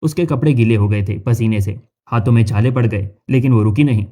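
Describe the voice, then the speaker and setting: Mellow male story narrator. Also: mid-range voice, fairly quick.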